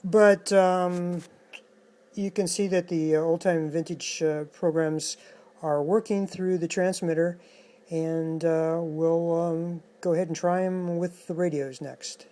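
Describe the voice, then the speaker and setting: A man giving a casual hands-on technical demonstration as an electronics hobbyist, speaking in a halting, matter-of-fact way. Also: a little high, fairly even intonation, mellow.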